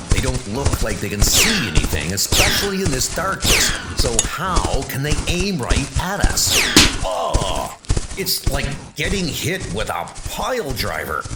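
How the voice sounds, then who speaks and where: A man's voice: mid-range voice, big pitch swings, measured pace, very noisy. A man voicing a strained armored knight. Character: brave armored ex-soldier knight, male. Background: music.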